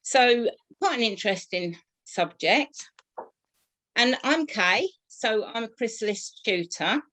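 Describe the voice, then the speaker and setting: A woman hosting a casual podcast chat as a tutor, introducing her guests in an unhurried way with frequent fillers. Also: a little high, lively intonation, a bit bright. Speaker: host and tutor.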